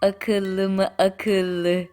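A woman narrating a children's bedtime fairy tale in a gentle, soothing storytelling manner, with deliberate pauses. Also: mid-range voice, flat delivery, a little hiss or hum. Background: music.

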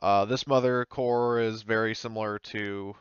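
Low, clear-voiced man running a game. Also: fairly even intonation, moderate pace, dry recording, dull audio. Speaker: game master. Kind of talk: explaining a tabletop game, casual.

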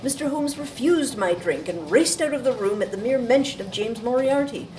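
A woman's voice: fairly high pitch, animated, moderate pace, a little mellow, lightly acted. A woman playing a coerced, defensive young actress in an audio drama, earnest and formal in her diction. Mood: ashamed, anxious. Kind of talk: audio theatre acting. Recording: distant mic, background noise.

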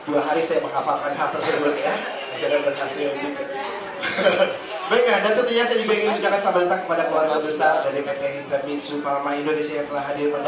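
A man hosting an opening ceremony in a theater hall, upbeat and joking with the crowd. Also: a little high. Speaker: event emcee. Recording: echoey hall, distant mic, music. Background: music playing.

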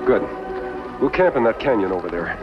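An American actor playing a steady, upright masked hero in a 1950s TV western. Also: male voice, on the low side, fairly quick. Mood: steady resolve. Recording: dull old TV soundtrack under music.

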